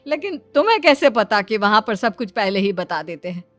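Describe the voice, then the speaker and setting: A woman playing a kindly, curious middle-aged mother-in-law in a cartoon story, expressive and easily amazed. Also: medium pitch, a little fast, dry recording, faint music behind. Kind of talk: Hindi cartoon story dubbing.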